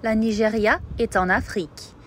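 A woman reading scripted lesson narration with careful, measured enunciation. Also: fairly high pitch, steady tone, background noise.

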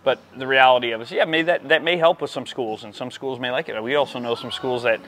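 Middle-aged man, coach, casual chat, mid-pitched voice, lapel mic, indoor room. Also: fairly even intonation, fast, noisy background. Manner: conversational, trailing off into softer asides. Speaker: baseball program coach and co-host. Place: cluttered indoor equipment room.

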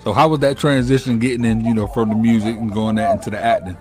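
A man's voice: medium pitch, steady tone, fast talker. A man, hosting a casual interview in an informal, slangy way. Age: late 30s. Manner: informal, slangy, repeats phrases. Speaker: interview host. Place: indoor room at home, on a video call. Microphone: wired earphones on a video call. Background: music.

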